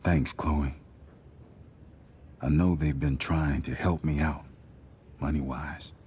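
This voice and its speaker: A man playing an earnest, worried middle-aged working father in a video game, speaking in a gentle, folksy, halting way. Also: extremely low pitch, steady tone, slight background noise. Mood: concerned. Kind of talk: naturalistic video game voice acting.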